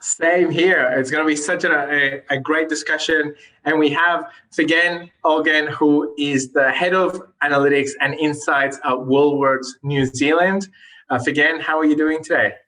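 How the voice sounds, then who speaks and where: A man's voice: a little high, steady tone, normal speed, bright, forceful tone, rough recording. A man hosting a podcast, addressing listeners and guests in a presenting style. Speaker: podcast host. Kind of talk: sponsor reads and panel hosting.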